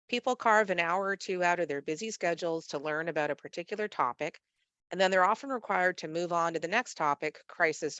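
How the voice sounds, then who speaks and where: A woman with a nasal voice from a cold, hosting a webinar in a measured, read-aloud manner over a video call. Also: on the low side, fairly bright tone, no echo. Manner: measured, read-aloud delivery with occasional fillers. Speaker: webinar host, executive director of a literacy network. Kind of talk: scripted webinar presentation.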